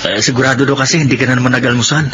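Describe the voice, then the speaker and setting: An actor playing a dutiful, earnest young Filipino student who speaks respectfully, in a radio drama. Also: male voice, mid-range voice, fairly even intonation, rapid-fire, natural voice. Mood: casual, friendly. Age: young adult. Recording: muffled audio, clear background noise.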